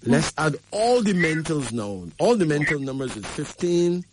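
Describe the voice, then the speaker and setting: A man's voice: on the high side, sing-song, moderate pace. A man hosting a show, giving an emphatic numerology reading and checking in with the caller again and again. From Barbados. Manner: emphatic, repeats "right?" to the caller. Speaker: radio host.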